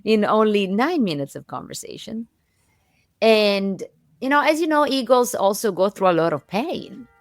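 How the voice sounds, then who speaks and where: A woman's voice: medium pitch, animated, moderate pace, no room sound, clean studio sound. A woman co-hosting a conversational interview, reflecting expressively on what her guest has said. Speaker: podcast host and coach.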